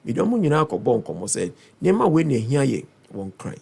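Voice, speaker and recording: A Ghanaian man with a hoarse voice, earnestly explaining his plans as a parliamentary aspirant in an interview. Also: medium pitch, normal speed. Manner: earnest, persuasive, steady explaining. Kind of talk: interview answers mixing Twi and English.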